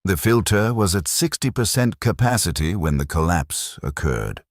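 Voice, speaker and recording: A man narrating as a stoic, detached soldier in a scripted sci-fi story, speaking in precise, deadpan, short clipped sentences. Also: deep voice, moderate pace, dry recording, clean studio sound. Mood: detached, grim. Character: stoic, detached male soldier recounting being buried alive.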